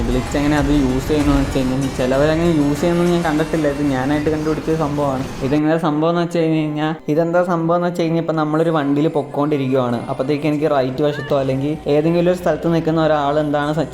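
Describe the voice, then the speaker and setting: A man giving a casual, chatty, rambling gaming tips voice-over. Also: a little high. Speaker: gaming video creator. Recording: roomy echo with music underneath. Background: music.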